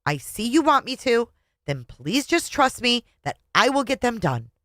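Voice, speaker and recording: A woman voicing an exasperated wife in a story, pleading and high-strung as she begs to be understood. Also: sing-song, unhurried, fairly bright tone, no room sound, studio quality. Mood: strained, insistent.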